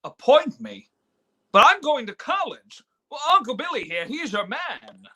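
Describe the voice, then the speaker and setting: A man's voice: extremely high pitch, big pitch swings, unhurried, pushed and bright, exaggerated voice. A man playing an earnest, indignant young idealist who stammers, in a live radio play reading. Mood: dismayed, insistent. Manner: stammering, impassioned. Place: remote online reading from home.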